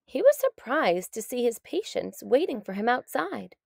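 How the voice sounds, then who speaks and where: A woman narrating a children's story in a deliberate, expressive read-aloud style. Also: a little high, creaky, no room sound, clean studio sound.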